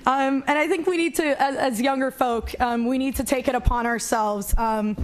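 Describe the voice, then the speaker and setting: Young woman speaking earnestly on a panel, fairly high voice, frequent "um" pauses. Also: steady tone. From Canada. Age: 20s. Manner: earnest, many "um" fillers. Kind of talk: seated panel talk.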